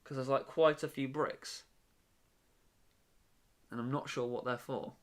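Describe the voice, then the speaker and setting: A man's voice: mid-range voice, steady tone, unhurried. A young man chatting casually on a live stream from his bedroom while he builds, rambling with lots of fillers and restarts.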